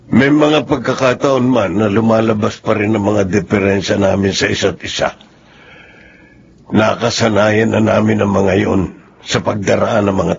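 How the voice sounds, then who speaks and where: A low, creaky Filipino man's voice, middle-aged and grave. He is a steadfast husband in a radio play, speaking with measured sobriety through slightly muffled sound. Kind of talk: Filipino radio drama acting. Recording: muffled radio drama, faint background noise.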